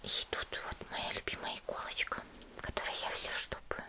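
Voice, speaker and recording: A woman playing a cheerful, caring young female demon slayer in a soft, chatty whispered ASMR roleplay. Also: normal speed, close to an everyday voice. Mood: gentle, chatty. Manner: gentle, chatty, hesitant little murmurs.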